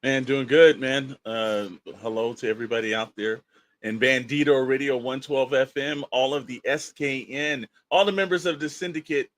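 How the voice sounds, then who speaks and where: A man's voice: mid-range voice, normal speed, fairly bright tone. A man with a mid-range voice co-hosts a show in loose, casual talk. He is colloquial and emphatic, speaking straight to the listeners. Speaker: podcast co-host. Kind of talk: casual chat.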